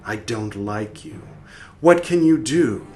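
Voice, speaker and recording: A man reading aloud as a solemn disembodied soul, questioning the dead slowly and gravely. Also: medium pitch, lively intonation, a little mellow, faint music behind. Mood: stern. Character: solemn disembodied soul, male, stern and probing.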